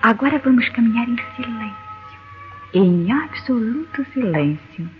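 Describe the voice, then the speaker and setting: A woman's voice: on the high side, animated, a little slow. Jealous seductive lady, Brazilian accent, vintage radio soap, muffled recording, faint music. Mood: coy, seductive. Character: coy, seductive, jealous wealthy woman in radio melodrama. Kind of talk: old radio soap opera acting.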